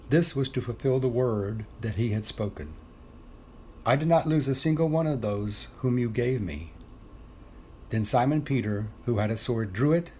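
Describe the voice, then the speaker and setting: Lower-voiced man reading scripture, slight noise. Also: a little mellow. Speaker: scripture reader. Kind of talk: solemn scripted Bible reading.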